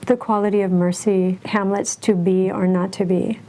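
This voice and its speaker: A woman with a mellow, dark tone speaks in a documentary interview. She runs briskly through a list of examples. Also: medium pitch, flat delivery, a little hiss or hum, low-quality recording.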